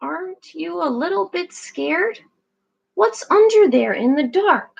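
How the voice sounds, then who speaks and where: A woman's voice: extremely high pitch, expressive, slow pace, gravelly, lo-fi sound, pitched above the performer's own voice, heavily acted. Woman voicing a timid little girl penguin. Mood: wistful. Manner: hesitant, wistful. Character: small timid young female penguin.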